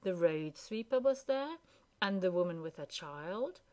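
A woman narrating a children's story as a scripted, expressive reading. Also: on the low side, unhurried. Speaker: storybook reader.

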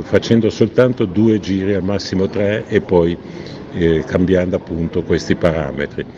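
A man giving a measured technical voice-over about racing car setup, pausing now and then to correct himself. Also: deep voice. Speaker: technical analyst. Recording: dull lo-fi voice-over with noticeable background noise.

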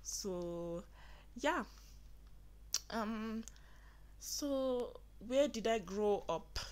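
A woman's voice: a little high, lively intonation, very slow, darkish tone. A young woman with a Nigerian accent, chatting earnestly to viewers in a casual vlog from her bedroom. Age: young adult. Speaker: vlogger. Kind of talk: casual get-to-know-me vlog. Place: small bedroom indoors.

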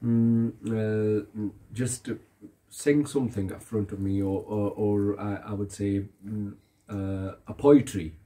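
A man's voice: on the low side, fairly even intonation, takes it slowly, mellow. A man whose first language is Urdu, giving earnest advice at a home gathering, often repeating himself and asking if he is understood. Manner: earnest, repeats phrases, asks "you understand me?". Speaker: religious elder giving advice. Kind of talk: casual chat in a gathering. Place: carpeted room in a house, seated on the floor.

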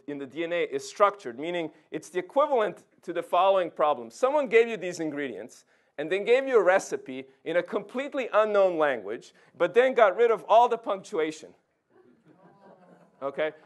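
A middle-aged man from Serbia gives an informal science lecture, conversationally checking in with his listeners as he explains. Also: on the high side. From Serbia, former Yugoslavia. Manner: conversational, checks in with "okay" and "right". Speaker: physics professor giving a public lecture. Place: indoor lecture room, talking beside a projection screen.

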